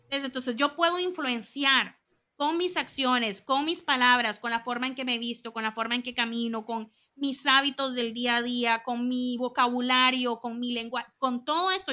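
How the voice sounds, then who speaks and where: Costa Rican woman, 30s, interview guest; high and bright, chatty and animated. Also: moderate pace. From Costa Rica. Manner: animated, chatty storytelling with lots of asides. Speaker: guest, communications consultant.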